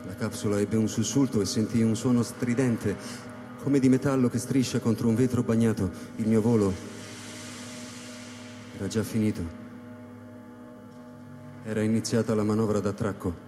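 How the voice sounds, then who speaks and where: A male actor playing a solitary astronaut who recounts his space voyage in a measured, solemn dramatic narration. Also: on the low side, fairly even intonation, natural voice. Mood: grave, tense. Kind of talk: literary sci-fi dramatic narration. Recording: long hall reverb over live electronic music. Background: electronic music.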